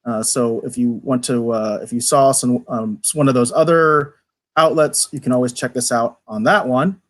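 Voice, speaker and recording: A man's voice: medium pitch, fairly even intonation, normal speed. A man co-hosting a livestream in a casual, conversational style. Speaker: podcast co-host. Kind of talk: casual livestream chat, reading viewer comments.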